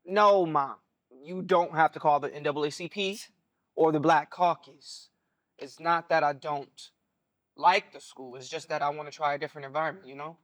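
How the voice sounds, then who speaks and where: Mild, earnest college-age son, slightly high male voice, dry close sound, placating stage drama delivery played by a man. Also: steady tone, moderate pace, fairly bright tone, no room sound, close to an everyday voice. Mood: hesitant, earnest. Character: mild-mannered college athlete, dutiful son. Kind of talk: stage play dialogue.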